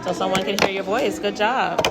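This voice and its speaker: A woman's voice: medium pitch, fairly even intonation, normal speed. A woman interviewing young children, prompting them with short, simple questions. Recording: loud music and crowd, large indoor space.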